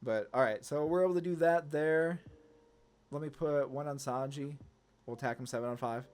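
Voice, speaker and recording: A man's voice: on the high side, moderate pace, a little mellow, clear, resonant tone. A man giving casual, slangy card game commentary, thinking aloud hesitantly as he plays. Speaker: gaming channel host.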